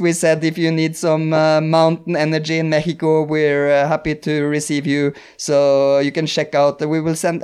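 A man's voice: on the high side, a little mellow, smooth. A man with a Norwegian accent, a podcast host in casual conversation, rambling, searching for words and laughing often. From Norway.

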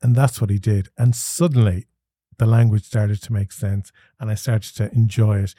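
An Irish man in his forties speaks in a mellow, unhurried register, recorded close and dry. He tells his own story thoughtfully, often restarting mid-phrase and filling pauses. He has the easy, conversational pace of a podcast host. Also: on the low side, fairly even intonation, no room sound. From Ireland. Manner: thoughtful, with frequent restarts and fillers.

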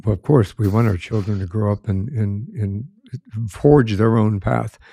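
A middle-aged man hosts a conversational podcast, friendly and a little rambling. His voice sits low and mellow, with a gravelly, slightly husky grain. The sound is dry and close. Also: a little slow, dry recording. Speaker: podcast host. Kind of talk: conversational interview.

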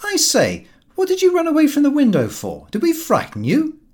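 Storybook role, acted by a male reader: brash, breezy young son, raised pitch, very animated, cheekily slangy. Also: moderate pace, heavily acted. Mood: breezy, teasing. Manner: loud, slangy, flippant.

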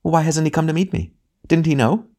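A man voicing the bitter ghost of a mother, snappish and demanding, raising his voice higher for her. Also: brisk, dark tone, natural voice. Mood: impatient, bitter.

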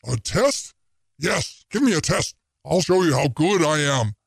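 Man putting on a gruff booming ironsmith. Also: animated, measured pace, dry recording, clean studio sound, heavily acted. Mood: boastful. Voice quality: gravelly, booming. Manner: hot-tempered, blustering.

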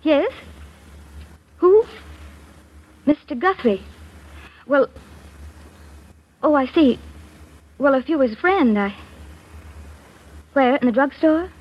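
A woman's voice: high-pitched, expressive, slow pace, long pauses. A woman playing an earnest, worried young woman who falters as she speaks, in 1940s radio drama style. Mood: polite, composed. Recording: muffled 1940s radio recording.

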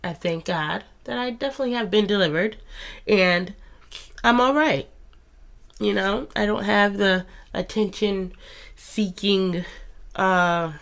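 A woman in her thirties hosts a faith podcast, stuffy and congested from a cold. She talks slowly and unhurriedly, in a chatty, encouraging tone. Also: fairly low pitch. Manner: warm, chatty and encouraging, with soft laughs. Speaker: podcast host. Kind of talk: casual faith podcast monologue.

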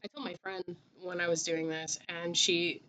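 A woman's voice: on the low side, flat delivery, normal speed, noisy background. A young woman hosting a casual podcast chat, joking and rambling with plenty of fillers. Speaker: podcast host.

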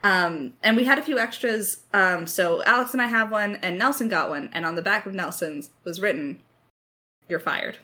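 A woman's voice: mid-range voice, moderate pace, sharp tone. A woman co-hosting a podcast Q&A, talking in a chatty, informal, joking way. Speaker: podcast co-host and audio drama creator. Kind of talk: casual Q&A chat.

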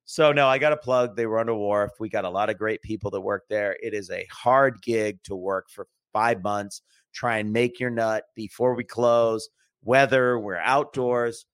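A man's voice: lowish voice, fairly even intonation, normal speed, clean tone, no room sound. A man telling bar stories on a podcast as a guest, in a loose, cursing chat style with frequent laughs. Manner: loose, swearing, laughing storyteller. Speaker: podcast guest, restaurant worker. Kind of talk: casual chat.

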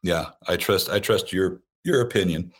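A man guesting on a podcast in casual chat, halting and repeating his words, chuckling often. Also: low-pitched, husky. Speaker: podcast guest and internet radio host.

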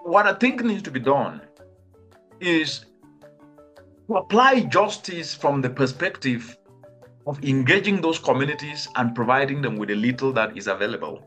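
A man's voice: mid-range voice, lively intonation, moderate pace, a bit bright, faint music behind. A man from Cameroon, a climate expert, answering interview questions earnestly and with rhetorical questions. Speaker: guest, climate change expert.

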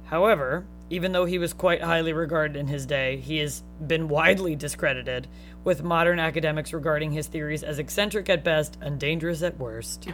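A woman's voice: deep voice, a little fast. Woman, podcast co-host, casual chat, joking asides, reads notes aloud, faint music behind.

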